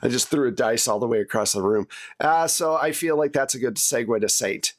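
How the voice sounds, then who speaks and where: A man's voice: mid-range voice, a little fast. A man speaking as game master and host of an actual-play podcast, in a conversational style.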